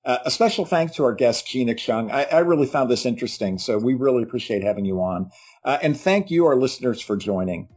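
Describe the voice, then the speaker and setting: A man's voice: mid-range voice, lively intonation, brisk, a little mellow, slightly rough. A man hosting a podcast, asking questions in a chatty way and then closing the episode. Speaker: podcast host. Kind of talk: interview hosting and scripted outro.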